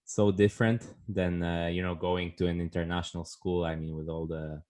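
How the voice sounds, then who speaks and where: A man's voice: low voice, flat delivery, moderate pace, darkish tone. A man hosting a podcast, casual and joking, who teases his guest and swears freely. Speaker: podcast host.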